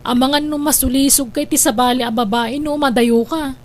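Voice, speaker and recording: A woman playing a high-voiced scheming wife. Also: fairly even intonation, a little fast, natural voice. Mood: scolding. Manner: wheedling, pushy. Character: scheming wife, a grown woman who keeps borrowing money. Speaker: lead character Amy. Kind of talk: Ilocano audio drama acting.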